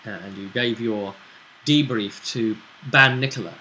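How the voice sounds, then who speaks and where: A British man speaking as a game master, recapping a tabletop role-playing story in a halting, conversational way. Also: lowish voice, pushed and bright, a little hiss or hum, low-quality recording.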